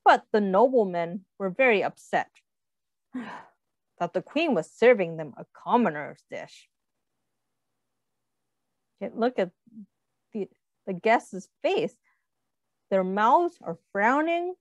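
A woman reading a picture book aloud to young children, expressively, pausing to explain and ask questions. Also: mid-range voice, very animated, dry recording. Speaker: read-aloud host, teacher.

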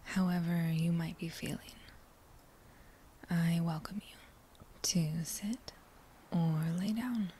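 A woman guiding a meditation in a breathy whisper, gentle and soothing, with long unhurried pauses between phrases. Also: lowish voice, slight background noise. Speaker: meditation guide. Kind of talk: guided meditation, whispered soft-spoken.

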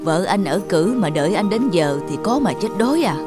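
A woman's voice: mid-range voice, lively intonation, a little fast, lightly acted. A woman playing a stern elderly cartoon grandmother and mother-in-law who scolds bluntly and frets. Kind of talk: cartoon voice acting. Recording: loud background music.

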